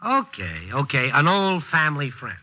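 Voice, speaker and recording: An actor playing a sardonic, hard-boiled newspaper reporter who narrates and wisecracks drily in a 1950s radio drama. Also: male voice, fairly high pitch, sing-song, moderate pace, bright, forceful tone, exaggerated voice. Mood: dry. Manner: dry wisecracking delivery. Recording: muffled old 1950s radio recording.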